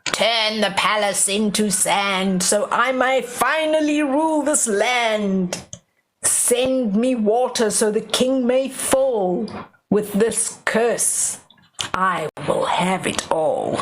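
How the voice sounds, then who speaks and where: A wicked storybook witch, as a woman reader plays her, chants her curse in slow, deliberate rhyme. She sounds scheming, and some noise sits under the recording. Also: a little high. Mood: menacing, chanting. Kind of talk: children's storybook read-aloud.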